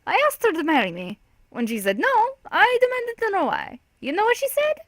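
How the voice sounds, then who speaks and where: A woman's voice: medium pitch, normal speed, no echo, close to an everyday voice. A woman voicing a rambling old drunken war veteran telling stories in an emphatic, meandering way. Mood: wistful, emphatic. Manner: odd cadence, emphatic, meandering storytelling.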